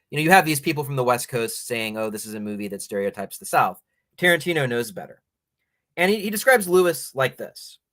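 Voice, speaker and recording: A man hosting a culture show, giving opinionated, explanatory film commentary in a measured, bookish way and reading passages aloud. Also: mid-range voice, a bit bright, no room sound.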